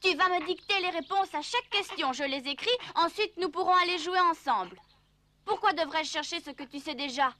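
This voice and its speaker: A woman's voice: very high voice, fairly quick, pushed and bright. A woman voicing a cheeky, lazy, stubborn little wooden puppet boy in a dubbed cartoon, with a whiny, bossy, childlike delivery. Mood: wheedling, demanding.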